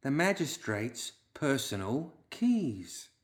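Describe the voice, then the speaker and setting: Audiobook outlaw woman, played by a male narrator: raised pitch, measured, coolly sure of herself. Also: expressive, close to an everyday voice. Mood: satisfied. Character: self-assured, bookish outlaw woman, coolly knowing.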